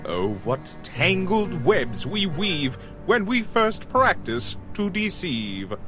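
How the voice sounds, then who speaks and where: A theatrical swashbuckling thief, declaiming slowly with huge swoops of pitch. His grand mock-Shakespearean manner suits a radio serial, and music plays behind. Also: big pitch swings, exaggerated voice. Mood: haughty, menacing. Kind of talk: old-time radio serial acting.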